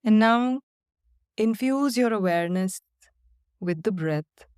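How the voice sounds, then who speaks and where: A woman guiding a body-scan and breathing meditation in slow, calm, soothing instructions with long pauses. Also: medium pitch, clear, resonant tone, dry recording, clean studio sound. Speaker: meditation guide. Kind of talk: guided meditation.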